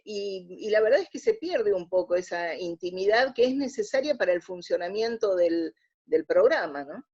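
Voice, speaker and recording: A woman with an Argentine accent, speaking as an interview guest, telling anecdotes in a halting, reflective way. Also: mid-range voice, mellow. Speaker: interview guest, radio theatre historian. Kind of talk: conversational interview, anecdotal storytelling. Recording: dull lo-fi audio.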